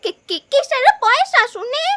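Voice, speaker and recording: Very high-pitched old village woman. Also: big pitch swings, moderate pace, crowd noise. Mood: indignant, defensive. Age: older. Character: old village woman, humble, anxious, indignant. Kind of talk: cartoon voice acting.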